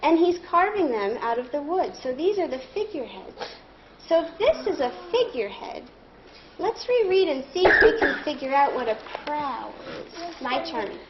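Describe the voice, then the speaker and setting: A woman speaks in a high, very animated voice, guiding pupils through a reading lesson. She is encouraging and instructive, prompting them with questions. The sound is echoey and somewhat dull, as in a classroom. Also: normal speed, noisy background. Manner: encouraging, instructive, thinking aloud. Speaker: elementary school teacher. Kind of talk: interactive read-aloud lesson, questioning pupils.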